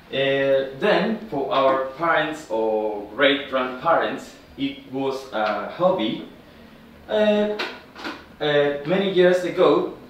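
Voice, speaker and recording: A man from Ecuador with a Spanish accent gives an informal classroom presentation in halting, non-native English, often checking with a short tag question. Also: medium pitch. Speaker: student presenter, football coach. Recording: very echoey room, distant mic, noisy.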